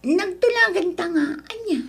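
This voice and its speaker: A woman's voice: very high-pitched, expressive, normal speed, vocal fry, exaggerated voice. An actress with an Ilocano accent playing a confident, ambitious young girlfriend who sweet-talks breezily in a radio drama. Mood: ambitious, dismissive.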